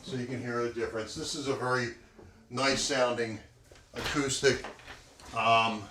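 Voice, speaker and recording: A man reviewing an acoustic guitar in a relaxed, rambling way, with lots of fillers and a loud voice. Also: medium pitch, unhurried, background noise. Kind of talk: casual product review with playing. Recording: echoey room, guitar playing behind.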